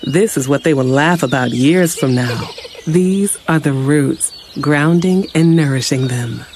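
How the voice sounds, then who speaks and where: A narrator reading a public service ad in soft, evocative storytelling. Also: medium pitch, measured pace, slight background noise. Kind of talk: gentle scripted narration.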